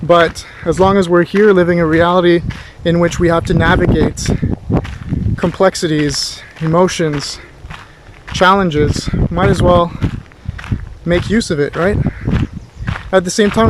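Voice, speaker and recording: A man giving a rambling, reflective philosophical monologue like a tutor talking to himself, halting as he searches for words. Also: high-pitched, very noisy. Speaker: philosophy tutor and vlog host. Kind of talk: rambling, reflective monologue recorded while walking.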